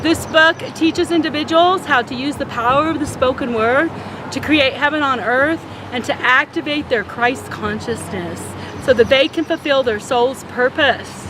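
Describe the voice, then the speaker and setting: A woman with a slightly high voice speaks in a measured, earnest way as a spiritual teacher. Outdoor noise is clearly heard behind her. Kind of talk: prepared self-introduction.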